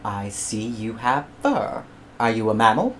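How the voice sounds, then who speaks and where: Pompous explorer man, as a woman puts him on, fussy, eager, low voice, animated. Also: unhurried, slight background noise, exaggerated voice. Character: fussy, pompous English colonist scientist, man.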